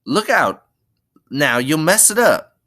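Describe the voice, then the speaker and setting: A man's voice: a little high, sing-song, takes it slowly, pushed and bright, voice pushed up, heavily acted. Man putting on a lighter-voiced vain young country wife. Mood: wary. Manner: folksy, chatty, self-satisfied speech.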